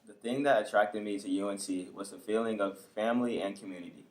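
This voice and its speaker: A young man, a college student, answering calmly and sincerely. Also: lowish voice, a little fast, poor audio. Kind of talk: interview answer. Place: indoor room before a campus backdrop.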